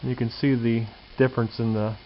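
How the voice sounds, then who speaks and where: A man's voice: mid-range voice, little pitch movement, normal speed. Folksy man in a dull, hissy recording. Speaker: hobbyist presenter. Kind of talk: casual hands-on show-and-tell commentary. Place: indoor home workshop or garage. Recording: dull lo-fi camcorder audio with hiss.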